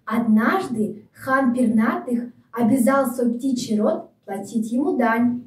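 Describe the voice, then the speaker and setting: A woman's voice: a little high, expressive, slow pace. A woman narrating a children's fairy tale from a script. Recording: echoey, slightly distant microphone.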